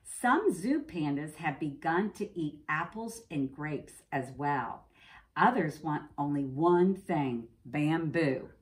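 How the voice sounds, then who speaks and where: Lively, low-pitched American woman reading to children. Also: slow pace. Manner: simple explanations, addressing children. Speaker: preschool teacher. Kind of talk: reading a picture book aloud to young children, with explanatory asides. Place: indoors, classroom.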